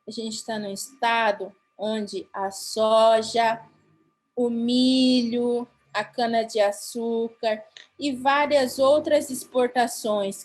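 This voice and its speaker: Slow, earnest Brazilian woman speaker. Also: on the high side, darkish tone, rough recording. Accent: Brazilian Portuguese. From Mato Grosso do Sul, Brazil. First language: Guarani. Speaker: conference keynote speaker. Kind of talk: formal conference talk.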